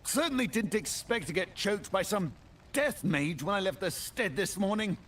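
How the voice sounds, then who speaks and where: A rattled town guard with a very high, swooping male voice. He is excitable and flustered, a simple man in a video game, sounding a little far from the mic. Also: normal speed, a little hiss or hum.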